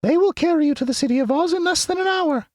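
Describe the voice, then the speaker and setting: A man reading a storybook aloud, voicing a tiny, gracious female queen of mice in a squeaky voice. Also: fast, dry recording, studio quality. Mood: kindly.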